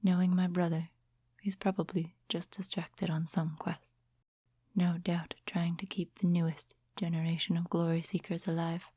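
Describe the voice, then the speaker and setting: A woman playing a composed, courteous, quietly mysterious witch in a soft-spoken ASMR fantasy roleplay, with a whispery, breathy voice and gentle, formal, unhurried phrasing. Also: on the low side, little pitch movement, no echo. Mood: wistful, reflective.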